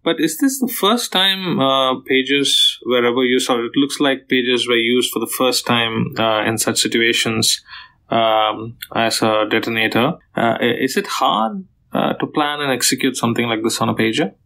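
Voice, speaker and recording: A man's voice: mid-range voice, moderate pace, creaky, bright, forceful tone, poor audio. A man asking questions as a podcast interviewer.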